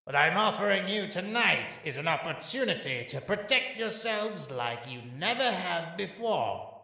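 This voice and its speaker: A man's voice: high voice, big pitch swings, measured pace, gravelly, pushed and bright, echoey room, full character voice. A man playing a grandiose criminal arms dealer addressing an auction like a salesman in a comic dub. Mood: showman-like. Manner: theatrical, salesmanlike.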